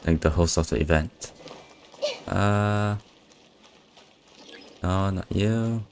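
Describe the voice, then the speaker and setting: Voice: deep voice, very slow. A woman doing casual live game commentary as a streamer, muttering offhand, skeptical reactions.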